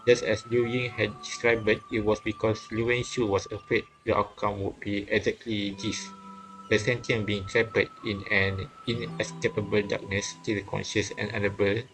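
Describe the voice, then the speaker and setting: A man reading and translating a visual novel aloud, haltingly, often correcting himself and repeating phrases. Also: fairly low pitch, little pitch movement, poor audio. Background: game music.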